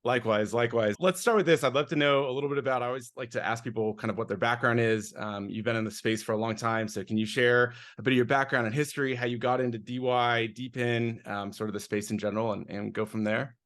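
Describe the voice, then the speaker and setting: A man's voice: medium pitch, fairly even intonation, fast talker, hardly any pauses, a bit bright. A man hosting a casual podcast interview who gives upbeat, enthusiastic introductions. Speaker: podcast host.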